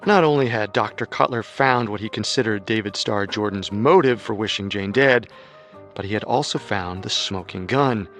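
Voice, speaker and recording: A man narrating a scripted true-crime story as co-host, measured, with dramatic storytelling emphasis. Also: on the low side, fairly bright tone.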